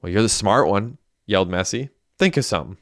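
A man's voice: on the low side, lively intonation, brisk, fairly bright tone, dry recording, clean studio sound. A man voicing a blunt, irritable young man in an audiobook, speaking in an exasperated way with a lot of swearing. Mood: snappy.

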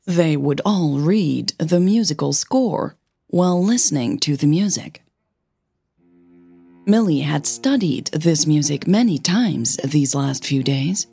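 Female fiction podcast narrator, slow and deliberate, slightly breathy, husky delivery over music. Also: deep voice, animated, warm tone, dry recording. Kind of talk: scripted audio fiction narration.

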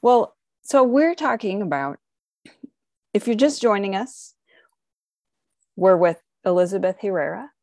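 A woman hosts a radio interview, asking friendly, chatty questions of her guest. Her voice sits at a middle pitch. The sound is close and dry, with no room echo. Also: expressive, unhurried, pauses often, warm tone. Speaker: radio host.

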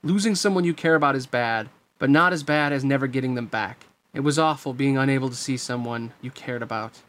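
A man's voice: mid-range voice, a little fast, fairly bright tone, lightly acted. A man voicing a plucky, earnest boy hero in an eager, emphatic way for a storybook reading. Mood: encouraging.

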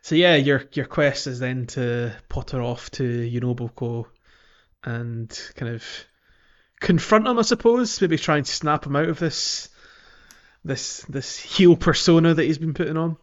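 A man's voice: fairly high pitch, measured pace, dull audio. A man co-hosting a podcast, casually discussing a video game in a chatty, rambling way with mild understatement. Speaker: podcast co-host.

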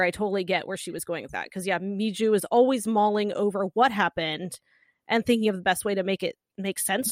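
A woman's voice: mid-range voice, no echo, clean studio sound. A married woman co-hosting a podcast, chatting casually about a drama and dropping plenty of "like" as she goes. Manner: chatty, lots of "like" and restarts. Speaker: podcast co-host.

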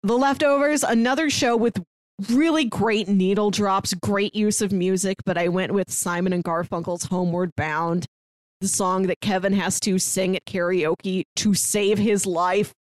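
An American woman speaks as a podcast co-host, chatting informally and with enthusiasm. Her pitch sits in the middle range, and the sound is dry, with no room echo. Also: fairly even intonation, measured pace. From St. Louis, United States. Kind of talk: casual pop-culture recap chat.

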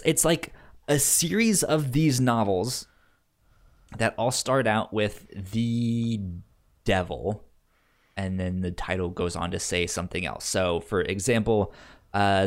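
A man co-hosting a podcast in casual conversation, halting and given to chuckling as he goes through his notes. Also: on the low side. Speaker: podcast co-host.

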